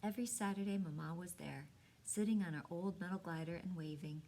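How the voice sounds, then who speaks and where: A woman reading a storybook aloud expressively, with dramatic pauses and hushed emphasis. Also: lowish voice. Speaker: storybook reader.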